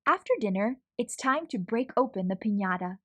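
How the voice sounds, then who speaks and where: A woman reading a children's picture book aloud with an expressive storytelling manner. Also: mid-range voice, normal speed.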